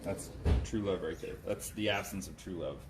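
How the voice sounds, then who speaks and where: A man's voice: low voice, moderate pace. An adult man chatting casually in dry, teasing banter. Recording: distant mic, noisy outdoor ambience.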